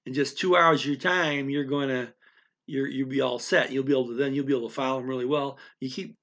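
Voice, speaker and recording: A man's voice: medium pitch, steady tone, fast, a bit bright. Rambling man teaching casually. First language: English. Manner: rambling, restarts often, speaks directly to the learner with frequent "okay?". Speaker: language teacher. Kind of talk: casual spoken lesson.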